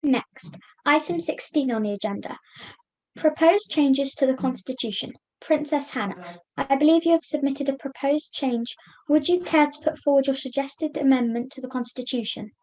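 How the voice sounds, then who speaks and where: Woman as high-voiced, orderly chair puppet. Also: darkish tone, close to an everyday voice, low-quality recording. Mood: businesslike. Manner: formal, measured, businesslike. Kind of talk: scripted puppet comedy dialogue.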